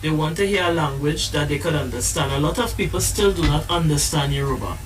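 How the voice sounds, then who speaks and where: Brief: male musician guest, higher-pitched, earnest and explanatory, heard from a distance with faint music behind. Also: lively intonation, a little fast. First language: English. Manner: earnest, explanatory, stumbles on restarts. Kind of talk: live-stream interview chat.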